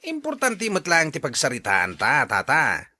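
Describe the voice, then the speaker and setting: A man's voice: on the high side, huge pitch swings, normal speed, sharp tone, full character voice. An actor playing an eager, inquisitive man who is deferential to his betters in a radio drama. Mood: eager, coaxing.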